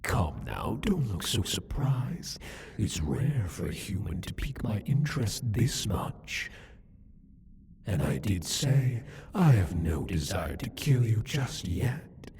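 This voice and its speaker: A man playing a cruel, possessive demon king in an ASMR roleplay, speaking to the listener in a breathy, taunting, condescending way. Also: on the low side, very animated, a little mellow, a little hiss or hum, heavily acted. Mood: smug, possessive. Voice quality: breathy, husky. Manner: taunting, condescending, deliberate, theatrical.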